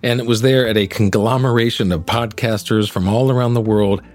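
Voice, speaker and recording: A man's voice: medium pitch, fairly even intonation, brisk, a little mellow. A middle-aged man hosting a podcast in a polished, articulate presenting style, moving between scripted narration and interview. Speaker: podcast host, actor and singer.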